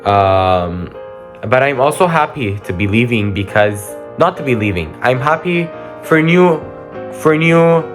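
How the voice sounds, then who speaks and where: A late-teen young man whose first language is Armenian, giving a rambling, hesitant farewell reflection as a graduating student, with frequent ums and restarts. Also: medium pitch. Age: late teens.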